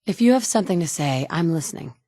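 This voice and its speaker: A steady wasteland survivor. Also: female voice, on the low side, fast talker, dry recording. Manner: direct, firm. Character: capable adult woman survivor, steady and direct. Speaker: player character. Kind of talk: video game dialogue. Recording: dry studio recording.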